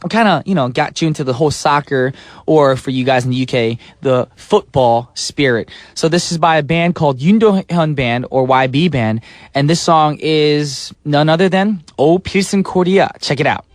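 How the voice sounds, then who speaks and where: Brief: upbeat male radio DJ, slightly high voice, dry close studio mic. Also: moderate pace, dry recording. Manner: playful, breaks into sung snippets and silly voices. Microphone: studio microphone.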